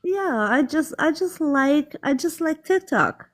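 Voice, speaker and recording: A woman's voice: high voice, expressive, slow pace. A woman from Germany, a guest on a live stream, chatting in an easygoing, friendly way. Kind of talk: casual chat.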